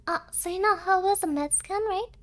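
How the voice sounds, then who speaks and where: A woman's voice: very high-pitched, moderate pace, fairly bright tone. A woman streamer chatting casually and playfully in a cutesy manner, dropping Japanese words into her English. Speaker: streamer, VTuber.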